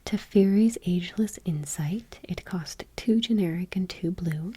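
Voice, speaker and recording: A woman doing ASMR, reading gently and unhurriedly in a breathy whisper, with casual asides. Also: on the low side, unhurried. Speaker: ASMR host.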